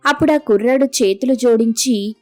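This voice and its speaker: A woman's voice: fairly high pitch, normal speed, faint music behind. A woman storyteller narrating a scripted moral tale.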